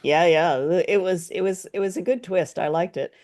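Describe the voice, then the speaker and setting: A man's voice: high voice, normal speed, a little mellow. A man co-hosting a casual review chat about a radio play. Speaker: podcast co-host.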